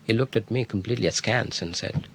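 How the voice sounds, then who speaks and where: A middle-aged man, a history professor telling an anecdote in an interview, thoughtful, with many fillers and pauses. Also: on the low side, a little hiss or hum. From India. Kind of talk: interview, reflective storytelling.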